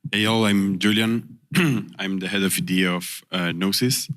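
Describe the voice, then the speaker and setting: Very deep, flat man. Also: unhurried. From Argentina. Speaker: panelist. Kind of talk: conference panel introduction.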